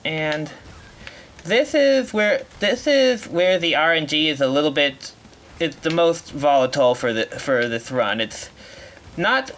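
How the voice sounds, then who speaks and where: A man giving live speedrun commentary, explaining as he plays in a halting way, often rephrasing mid-sentence. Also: on the high side, animated, a bit bright, slight background noise. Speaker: speedrunner playing at a marathon.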